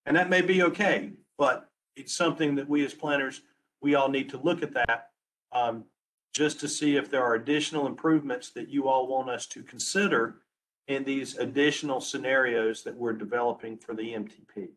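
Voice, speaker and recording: A man presenting on a webinar as a transportation planner, losing his voice and talking haltingly, restarting his words. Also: mid-range voice, fairly even intonation, a bit bright, poor audio. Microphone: video call.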